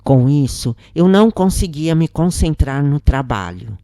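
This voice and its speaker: A woman narrates a scripted story dramatically. Her voice is low and unhurried, with lively rises and falls in intonation. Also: dry recording, studio quality.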